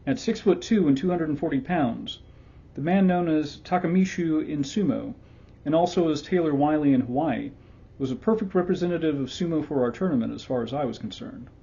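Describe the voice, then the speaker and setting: A brash, boastful sumo recruiter sells his fighter hard, the voice mid-pitched and mellow, as a man reads him out. The sound is dull and lo-fi. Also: a little fast, vocal fry, slightly rough.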